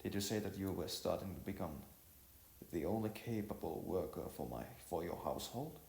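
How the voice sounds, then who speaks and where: A man voicing a concerned, gentle young woman in a storybook, with a hesitant, questioning manner. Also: low-pitched, animated, a little fast, gravelly, echoey room.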